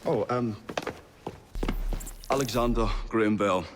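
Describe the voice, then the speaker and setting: An actor playing a fussy, earnest, easily flustered Victorian gentleman inventor in a video game cutscene. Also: on the low side, slow. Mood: absorbed, earnest. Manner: fussy, hesitant, old-fashioned wording. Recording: reverberant hall, faint noise.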